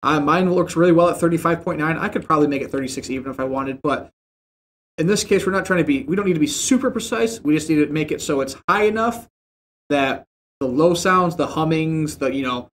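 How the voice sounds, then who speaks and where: A young man in a home streaming room who explains audio settings in a relaxed, rambling way. He uses lots of fillers, and his desk mic sits about a foot and a half from him. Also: fairly high pitch, a little fast. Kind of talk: casual screen-share tutorial. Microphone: desk mic about a foot and a half away, headset on.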